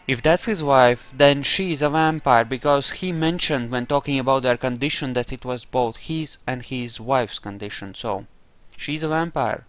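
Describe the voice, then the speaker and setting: A man's voice: mid-range voice, smooth. A man giving casual roleplay playthrough commentary, musing hesitantly as he thinks aloud about what his character believes. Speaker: gaming video host.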